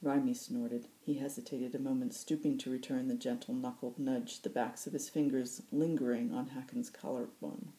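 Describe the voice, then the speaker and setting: A woman's voice: a bass voice, fairly even intonation, normal speed, hardly any pauses, warm tone, low-quality recording. A woman hosting a story reading, narrating fiction excerpts in an audiobook style. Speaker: host reading book excerpts.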